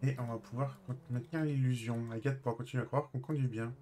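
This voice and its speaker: A man's voice: medium pitch, steady tone, fast, a little mellow, slight background noise. A man casually commenting on his roleplay game as he plays, often muttering to himself. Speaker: streamer playing a roleplay game. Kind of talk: casual gameplay commentary and in-character chat.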